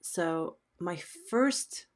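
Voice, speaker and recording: Middle-aged woman from Sweden, native Swedish, low-ish voice, slow and chatty; live stream host. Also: animated. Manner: chatty, unhurried, reads out viewer questions. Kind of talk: casual live stream chat.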